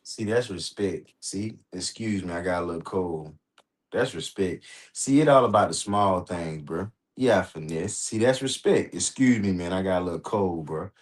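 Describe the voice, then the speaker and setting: An American man talking casually on a livestream, animated and slangy, swearing often and repeating himself for emphasis. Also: low voice, moderate pace. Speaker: host reacting on a livestream. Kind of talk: casual rambling livestream talk.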